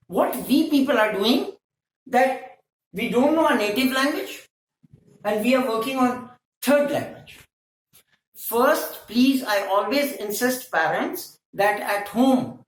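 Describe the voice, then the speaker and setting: Pakistani accented woman lecturing teachers, firm and scolding, asks rhetorical questions, roomy echo. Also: a little high. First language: Hindko. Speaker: teacher trainer. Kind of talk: training workshop lecture.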